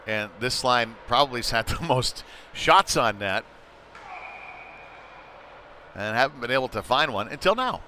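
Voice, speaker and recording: A man's voice: mid-range voice, normal speed, a little hiss or hum. A man giving informal, conversational play-by-play commentary on an amateur hockey game. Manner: conversational and informal, excited when play heats up. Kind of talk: live amateur sports commentary.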